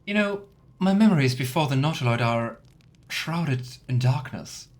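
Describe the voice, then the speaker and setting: A man's voice: a little high, lively intonation, normal speed. Chatty man doing casual game commentary. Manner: chatty, playful, rambling asides. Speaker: let's player.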